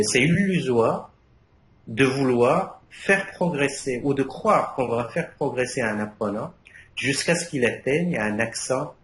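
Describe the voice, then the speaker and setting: Older male academic, Arabic native, halting delivery full of fillers, recorded in a reverberant room with light background noise. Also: mid-range voice, a bit bright. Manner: hesitant, many fillers and restarts. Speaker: thesis jury member. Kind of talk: academic thesis feedback. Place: university defense room.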